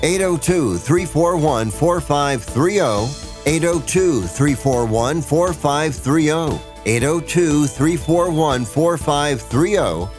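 A man slowly repeating phone numbers for an ad. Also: on the high side, animated, darkish tone, soft background music. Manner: slow, deliberate repetition.

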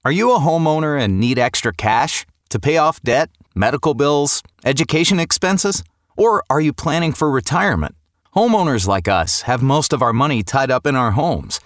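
A man's voice: medium pitch, animated, normal speed, no room sound, clean studio sound. A man reading a scripted commercial in a friendly, persuasive way.

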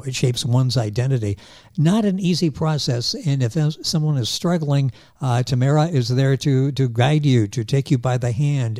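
Older man, interview host, mellow and dry-sounding, encouraging tone. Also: medium pitch, no echo. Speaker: talk show host. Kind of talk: interview hosting.

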